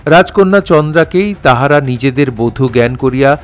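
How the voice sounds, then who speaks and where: A man's voice: mid-range voice, animated, moderate pace, soft background music. A man narrating an audio drama in a formal, literary storytelling manner.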